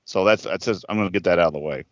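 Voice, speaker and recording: A man's voice: sits low, rapid-fire, no echo. A man in his fifties, a podcast co-host chatting casually in a rambling, profane way with lots of restarts. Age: 50s. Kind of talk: casual chat. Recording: dull lo-fi podcast audio.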